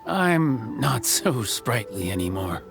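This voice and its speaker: An actor playing a genial, slightly grumbling old carpenter in a video game, with an aged, creaky voice and hesitant, folksy delivery. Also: male voice, mid-range voice, sing-song, takes it slowly, full character voice. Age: older. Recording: music under the voice.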